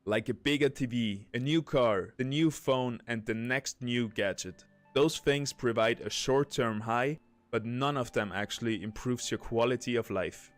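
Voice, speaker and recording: A man narrating a scripted video in a measured, even delivery. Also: medium pitch, dry recording.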